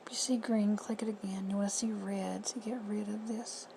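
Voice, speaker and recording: A woman with a hoarse, husky voice giving plain, friendly step-by-step instructions in a casual how-to. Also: medium pitch, little pitch movement, moderate pace. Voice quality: whispery, husky. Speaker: reseller tutorial host. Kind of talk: casual screen-recorded how-to. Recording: noisy home recording.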